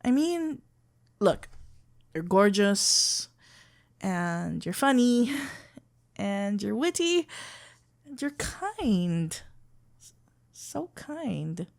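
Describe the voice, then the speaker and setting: Woman acting a shy, slow-spoken lovestruck florist. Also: medium pitch, big pitch swings, vocal fry, heavily acted. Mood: tender, admiring. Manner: halting, stammering, awkwardly earnest. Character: shy, flustered, lovestruck florist best friend, female, tender. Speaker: audio roleplay performer. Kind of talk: intimate ASMR roleplay acting.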